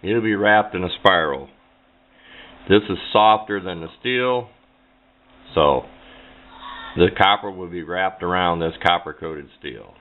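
Low-pitched man, tinny recording. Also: a little hiss or hum. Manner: plain-spoken, rambling, offhand. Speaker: hobbyist presenter. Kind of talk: casual hands-on how-to demonstration. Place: indoors at a workbench. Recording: tinny, band-limited camera microphone sound.

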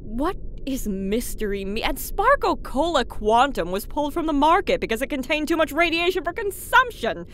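A woman's voice: high-pitched, very animated, moderate pace, hardly any pauses, fairly bright tone. A woman playing a sardonic, exasperated pony news co-anchor, dry and incredulous, in a mock broadcast comedy. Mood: alarmed, disapproving. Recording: clear background ambience noise.

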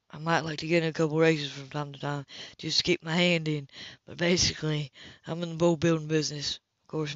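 A woman's voice: deep voice, no room sound, dull audio, voice pushed down, close to an everyday voice. A woman voicing a determined, blunt, smitten man, insistent and earnest, in an audiobook romance.

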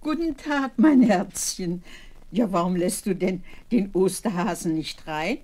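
A woman's voice: medium pitch, lively intonation, a little slow, a little mellow, a little hiss or hum, lightly acted. An actress playing a playful, affectionate old grandmother in a seventies radio play, with a fond, teasing manner. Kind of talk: 1970s radio play acting.

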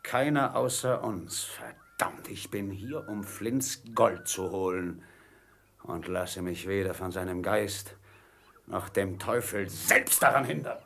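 An actor playing a hard, cunning, fearless pirate ringleader in a radio play, gruff and commanding. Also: male voice, deep voice, slow pace, a bit bright, a little hiss or hum, heavily acted. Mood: grim, defiant. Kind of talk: German radio play acting.

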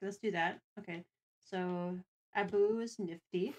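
A woman hosting a livestream, chatting casually and thinking aloud in a deliberate way. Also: mid-range voice, fairly even intonation, a little mellow, muffled recording. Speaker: stream host.

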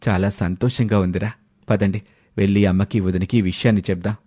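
Man acting as dutiful eldest brother, caring, earnest, mellow voice, family storybook. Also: low-pitched, steady tone, fairly quick, pitched below the performer's own voice. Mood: happy.

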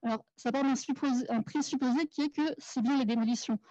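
A woman's voice: high voice, fairly even intonation, fairly quick, mellow, no room sound. A woman from the Paris suburbs making an earnest, articulate argument from the audience at a debate. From Paris suburbs, France. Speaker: urban planner and activist in the audience. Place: small crowded café room. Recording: dull, lo-fi event recording.

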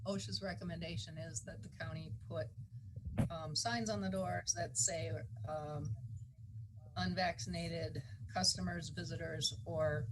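A woman with a somewhat low voice explains policy as a county official at a board meeting. Her delivery is measured and halting, and the meeting-room recording carries clear background noise.

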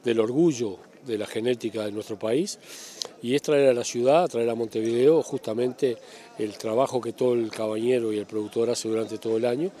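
A man's voice: mid-range voice, fairly even intonation, moderate pace, warm tone. An older man with a Uruguayan accent giving an interview outdoors into a handheld microphone. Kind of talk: spoken interview answer. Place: outdoors at a showground.

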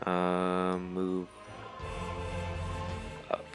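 A man's voice: deep voice, monotone, very slow. A man giving casual, laid-back commentary while playing a video game. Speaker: let's-player. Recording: game music behind. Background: video game music.

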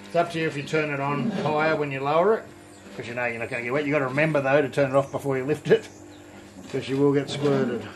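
A middle-aged man talks through a brewing demonstration as he works, relaxed and explanatory. His voice sits at an ordinary pitch, with a faint hum of room noise behind him in an indoor home brewery. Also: fast talker. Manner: relaxed, explanatory, thinking aloud while working. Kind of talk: casual hands-on demonstration.

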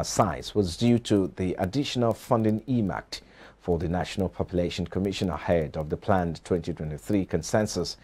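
A middle-aged man with a Nigerian accent reads scripted news as an anchor in a formal, measured way. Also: deep voice, mellow, breathy edge. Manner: formal, measured announcer delivery. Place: TV news studio.

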